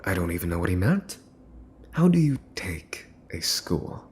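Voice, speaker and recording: A man's voice: low voice, big pitch swings, unhurried. A man playing a mafia boss's son in an ASMR roleplay, smug, teasing and menacing, with a mocking theatrical drawl. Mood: smug menace. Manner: mocking, theatrical drawl with mock-cute wording. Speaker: voice actor.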